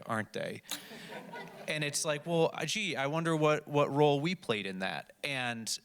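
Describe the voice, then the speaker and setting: Emphatic, bright-voiced man on a panel. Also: mid-range voice, smooth. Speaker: panel speaker in media. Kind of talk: impassioned panel discussion remarks.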